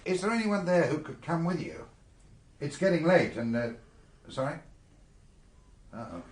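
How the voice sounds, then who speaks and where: An actor playing a sceptical British chief superintendent in a radio drama, speaking in a brisk, questioning, official way. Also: male voice, on the high side, big pitch swings. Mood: official, surprised. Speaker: police superintendent character. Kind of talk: BBC radio drama acting.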